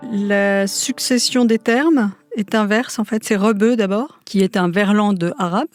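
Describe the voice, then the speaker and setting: A middle-aged woman professor explaining at length, pausing and correcting herself as she thinks. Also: medium pitch, dry recording, faint music behind. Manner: thoughtful, frequent hesitations and self-corrections. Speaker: university professor. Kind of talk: interview answer, lecture-like explanation.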